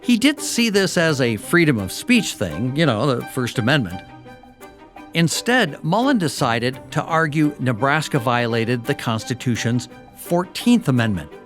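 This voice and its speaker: A man narrates a history documentary with a clear, scripted storytelling delivery. His pitch moves a lot, rising and falling through each line. Soft music plays under him. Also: very animated, a little slow. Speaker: podcast host.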